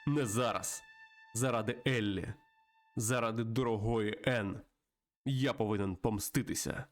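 A man's voice: on the low side, measured pace, soft background music, close to an everyday voice. A man voicing a grim, vengeful pigeon gunslinger from a Western game, solemn and melodramatic. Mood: grim resolve.

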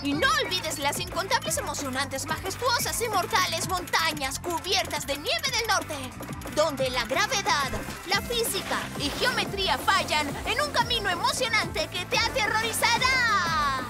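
An actor voicing an excitable, boastful young bear inventor in a children's cartoon, with a theatrical, enthusiastic delivery. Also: very high-pitched, a little slow. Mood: dramatic. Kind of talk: animated children's cartoon dub. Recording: music behind the voice.

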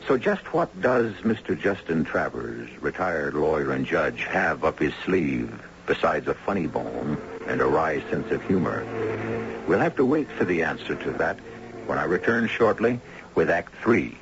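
A man with a very deep voice narrates a radio mystery as its host. His delivery is deliberate and theatrical, with lively inflection. The 1970s recording is dull and clearly noisy.

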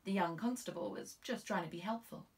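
A young woman with a British accent narrates a crime novel for an audiobook. Her pitch is average for a woman. She is recorded on a studio microphone in a small booth, sounding slightly away from it. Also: fairly quick, recorded from a distance. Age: young adult. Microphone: studio condenser with pop filter, side-on.

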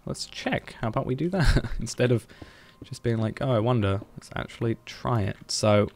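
A man's voice: mid-range voice, normal speed. A man streaming a building game, thinking aloud in a hesitant, musing way as he plans. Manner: musing, hesitant, planning out loud.

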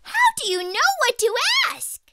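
A woman's voice: very high voice, expressive, moderate pace, heavy background noise. A woman voicing a small, curious, childlike spot creature who eagerly asks lots of questions in a children's storybook read-aloud.

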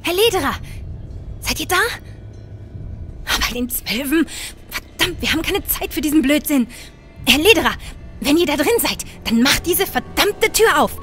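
A woman playing a bold, sharp-tongued, impatient young adventuress in a fan-made fantasy audio drama. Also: sits high, lively intonation, normal speed, long pauses, soft background music. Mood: urgent, exasperated. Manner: sarcastic, bossy.

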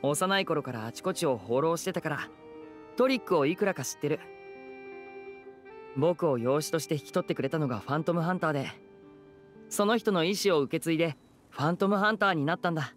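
A man's voice: on the high side, brisk, pauses often, natural voice. A man voicing a courteous young wandering trickster, composed, in an anime game. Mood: amiable. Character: smooth-talking young male wandering trickster. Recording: music behind the voice.

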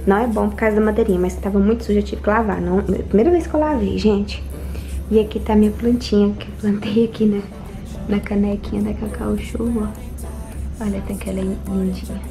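A woman's voice: medium pitch, fairly even intonation, fairly quick, creaky, warm tone. Chatty young woman vlogging her home. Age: young adult. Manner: chatty, addresses viewers directly. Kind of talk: casual home tour vlog. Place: house and yard, in and out of doors. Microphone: phone held at arm's length. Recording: music playing underneath.

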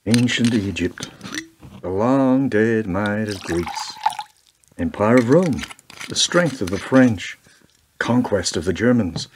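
A grave, brooding strategist of empire acted by a man delivers a radio play monologue. His voice sits fairly low, and he speaks in measured, rhetorical phrases.

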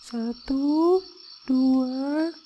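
High-pitched cheeky child ghost. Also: female voice, very slow, dull audio, a little hiss or hum. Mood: eager, cheeky. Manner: childlike, casual, cocky. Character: small cheeky tuyul child ghost, eager to help. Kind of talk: comic cartoon dialogue.

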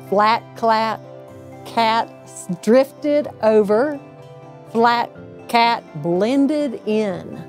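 Slow-paced middle-aged woman reading to children. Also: fairly high pitch, darkish tone. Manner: unhurried, deliberate phrasing with drawn-out emphasis. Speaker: storybook reader, local insurance agent. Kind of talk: children's bedtime story read aloud.

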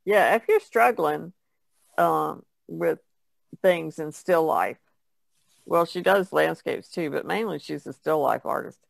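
A woman's voice: low voice, slow, creaky, dry recording. A woman talking casually as an artist on a podcast. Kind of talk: casual chat.

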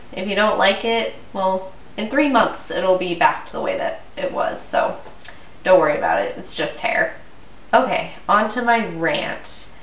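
A woman's voice: fairly low pitch, vocal fry. A young woman with a cold, sounding stuffy and congested, ranting casually to her vlog camera at home. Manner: chatty, exasperated ranting. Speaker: vlogger. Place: living room at home, indoors. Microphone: camera mic from a few feet away. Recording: roomy, distant camera audio.

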